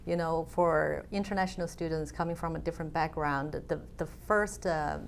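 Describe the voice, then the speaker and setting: A woman's voice: sits low, normal speed, a little hiss or hum. A woman, a guest in an interview, speaking thoughtfully with frequent fillers. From China. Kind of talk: conversational interview.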